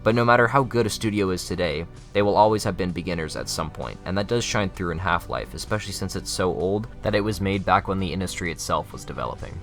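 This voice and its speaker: A man's voice: low voice, fast talker, hardly any pauses, a bit bright, faint music behind. A young adult man reading scripted narration for a video essay.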